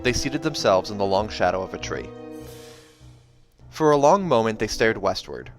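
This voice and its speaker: A man's voice: lowish voice, sing-song, normal speed. A man hosting a read-aloud, narrating a fantasy novel and dropping in casual asides. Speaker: host reading a novel aloud. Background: background music.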